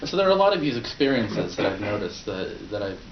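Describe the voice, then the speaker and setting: Polish-born young man, native Polish speaker, low voice, casual prepared speech, distant roomy dull recording. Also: very animated, fast talker, background noise. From Poland. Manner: conversational, with fillers and restarts. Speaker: club speaker.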